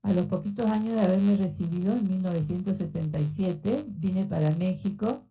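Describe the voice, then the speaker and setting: A woman's voice: lowish voice, mellow, rough recording. A woman in her sixties from Argentina, whose accent mixes Argentine and Mexican Spanish, giving an informal, conversational lecture in a university auditorium with frequent fillers. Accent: Argentine Spanish with Mexican influence. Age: 60s. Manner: unhurried, conversational, many fillers. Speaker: researcher giving a talk.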